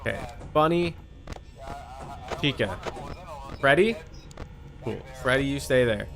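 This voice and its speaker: A man's voice: medium pitch, expressive, takes it slowly, creaky, over music. A man livestreaming a video game with casual commentary, muttering to himself, repeating phrases and chatting with viewers. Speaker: livestreamer playing a horror game.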